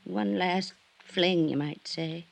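A woman's voice: lowish voice, slow pace, vocal fry, full character voice. An actress playing a naive, aging society widow in an old radio drama, theatrical, girlish and wistful. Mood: wistful, fearful. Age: older. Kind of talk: 1950s radio drama acting.

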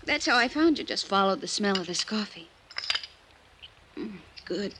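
An actress playing a cheeky, quick-witted young woman in an old-time radio drama, bantering flippantly and evasively. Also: medium pitch, animated, lightly acted. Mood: wry, prying. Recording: faintly noisy old radio recording.

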